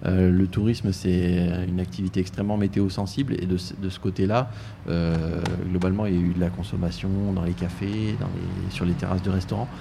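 A man heading a tourist office, answering questions in a live radio interview, with frequent pauses and restarts. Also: low-pitched, steady tone, vocal fry, background noise. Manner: hesitant, many fillers and restarts.

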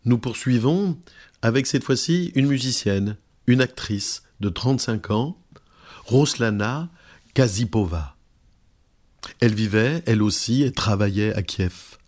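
A man hosting a radio program, introducing guests and reading their words in a slow, measured way with occasional hesitations. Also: mid-range voice, darkish tone. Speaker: radio host. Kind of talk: radio presentation, reading translated testimonies.

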